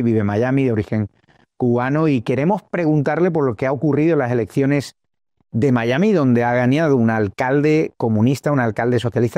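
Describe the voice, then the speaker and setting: A man's voice: medium pitch, darkish tone, no echo. A man hosting a political news show, giving opinionated commentary in a combative, scornful manner.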